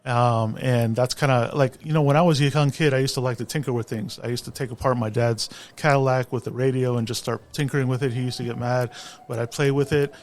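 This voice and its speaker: A man's voice: mid-range voice, steady tone, fast, hardly any pauses. Easygoing middle-aged man from San Francisco. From San Francisco, USA. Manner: easygoing, reflective, laughs at himself. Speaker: guest. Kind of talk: interview storytelling.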